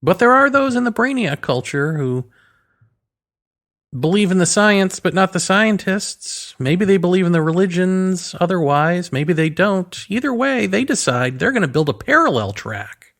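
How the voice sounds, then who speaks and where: A man's voice: sits high, very animated, moderate pace, a bit bright. A man delivering an opinionated philosophical monologue in a sardonic way, leaning on rhetorical questions and emphatic repetition. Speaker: commentator delivering a solo monologue.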